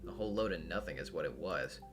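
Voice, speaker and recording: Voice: deep voice, animated, fast, faint music behind, voice pushed down. A performer voicing a blunt, sardonic young man in a visual novel, muttering and mocking.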